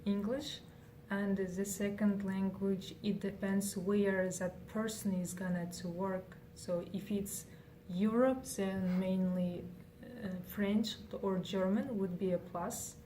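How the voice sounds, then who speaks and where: A young woman with a Kazakh accent speaks slowly and haltingly, pausing often on fillers as she searches for words. Her voice is mellow and soft. She sits a little back from the microphone, with faint noise behind her. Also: mid-range voice, steady tone. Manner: hesitant, many fillers and restarts. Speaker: interviewee. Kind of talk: casual interview answers. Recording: faint noise, distant from mic.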